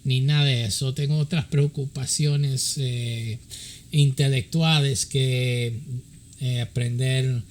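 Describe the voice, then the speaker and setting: Man slowly speaking halting Spanish. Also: mid-range voice, steady tone. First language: English. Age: middle-aged. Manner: halting Spanish with many fillers; switches between English and Spanish. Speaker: pastor and counselor to pastors. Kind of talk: informal teaching talk.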